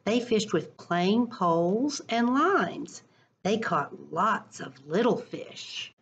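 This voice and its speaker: A middle-aged woman reading a story aloud to children in a deliberate, expressive way, recorded on a computer at home. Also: medium pitch, muffled recording, slight background noise. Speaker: school educator reading to children. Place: living room at home. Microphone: computer webcam at arm's length.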